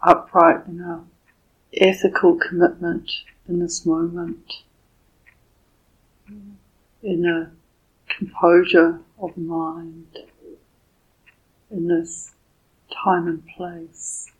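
Woman, meditation teacher, very unhurried, even and flat intonation, soft husky voice, gentle pauses, guided meditation. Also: fairly low pitch, highs cut off. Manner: gentle, deliberate, with long pauses between short phrases.

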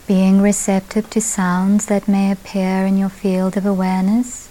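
A woman meditation teacher leading a guided meditation at a retreat, speaking calmly in short phrases with long pauses. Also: mid-range voice, low-quality recording. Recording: steady background hiss.

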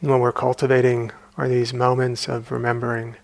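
Calm guy doing a guided meditation, pretty flat melody, short phrases w long gaps, dry close sound. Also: mid-range voice, fairly quick, vocal fry, no echo. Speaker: meditation teacher.

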